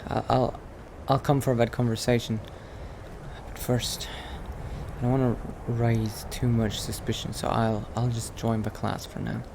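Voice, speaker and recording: A man plays a guarded, polite newcomer in an improvised game roleplay. He speaks haltingly and low-key at an average male pitch, with clear ambient noise behind him. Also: flat delivery. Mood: reluctant, guarded. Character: hesitant, polite, secretive male newcomer adventurer.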